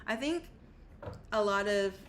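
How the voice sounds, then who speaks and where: A woman's voice: medium pitch, expressive, unhurried. A woman co-hosting a livestream in casual conversation, laid-back and freely giving her opinions. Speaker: livestream co-host. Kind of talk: casual chat. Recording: faint background noise.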